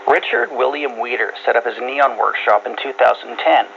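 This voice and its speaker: A man's voice: medium pitch, normal speed, gravelly. A man narrating a scripted feature as a reporter, heard over shortwave radio. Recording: dull shortwave radio with static.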